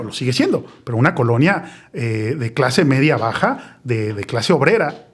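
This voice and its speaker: A man's voice: on the high side, husky. A man in his forties with a Mexico City accent, hosting a casual chat and wandering off on tangents, often tagging his points with a question. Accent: Mexico City Spanish. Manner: chatty, digressive, tags phrases with "¿no?". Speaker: podcast host.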